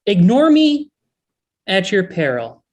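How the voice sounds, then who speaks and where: A woman's voice: medium pitch, big pitch swings, slow pace, darkish tone. A woman playing a worried, touchy male head elf in a comedy table read, earnest and a little hesitant. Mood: threatening.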